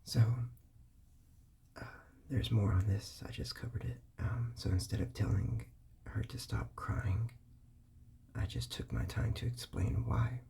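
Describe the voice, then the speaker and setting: Male parent, hushed breathy delivery, low gravelly fry, calm and repetitive. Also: a little slow, pauses often, in a whisper. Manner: calm, patient, repeats his points. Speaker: parent giving parenting advice. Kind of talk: whispered advice talk.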